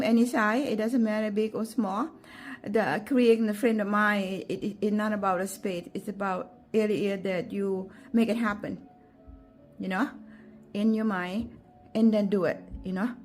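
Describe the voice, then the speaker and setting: Gentle Thai-accented woman with halting speech. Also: mid-range voice. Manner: gentle, halting, with many fillers and restarts. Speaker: gardening vlogger. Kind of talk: unscripted walking tour vlog.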